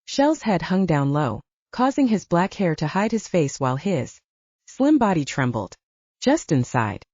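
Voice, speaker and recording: A woman's voice: fairly low pitch, big pitch swings, unhurried, a little mellow. A woman reading scripted fiction as an audiobook narrator. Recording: roomy, dull lo-fi audio.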